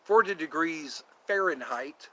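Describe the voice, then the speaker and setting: A man's voice: fairly high pitch, lively intonation, unhurried, bright, forceful tone, low-quality recording. A man in his fifties hosting a casual livestream, chatting to viewers in a meandering way and slipping into quoted voices. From United States. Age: 50s. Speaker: livestream host.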